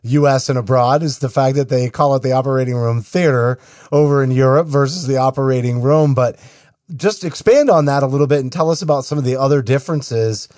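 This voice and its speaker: A man's voice: medium pitch, brisk, a little mellow, dry recording. Man, podcast host, from Maine, conversational, dry close-mic sound. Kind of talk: podcast interview.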